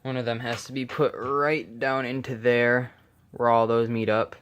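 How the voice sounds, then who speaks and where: A man's voice: medium pitch, little pitch movement, unhurried, a bit bright, smooth, lo-fi sound. A man giving a casual, unscripted hands-on walkthrough, hesitant and rambling, often correcting himself. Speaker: hobbyist vlogger. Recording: noisy handheld camera recording, clicks.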